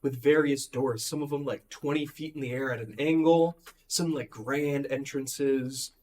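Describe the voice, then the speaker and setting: A hoarse man losing his voice, running a tabletop game and narrating with many ums and restarts. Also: medium pitch, fairly even intonation, a little mellow. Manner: halting, full of ums and likes. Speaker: game master.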